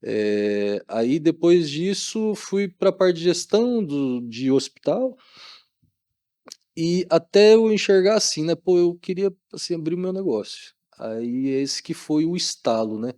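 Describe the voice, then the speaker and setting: A man's voice: on the high side, expressive, measured pace, warm tone, dry recording, studio quality. A middle-aged Brazilian man chatting informally as a podcast guest, using lots of colloquial tags. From Maringá, Brazil. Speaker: guest, doctor. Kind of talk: casual podcast interview talk.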